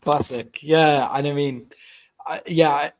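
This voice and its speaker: A man's voice: on the high side, fairly even intonation, takes it slowly. Chatty English man co-hosting a sports podcast. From England. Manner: chatty, self-correcting, asks co-host questions. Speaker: podcast co-host. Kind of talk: casual sports chat.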